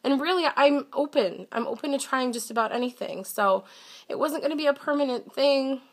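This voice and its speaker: A woman's voice: high voice, a little fast. A young woman chatting straight into her camera, her voice a bit stuffy and congested. She talks candidly and casually, at times a touch defensive, like she is setting her viewers straight. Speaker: vlogger.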